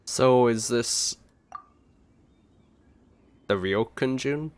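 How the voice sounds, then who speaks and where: Mid-pitched unhurried voice, curious questioning young adventurer, game character. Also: male voice, smooth.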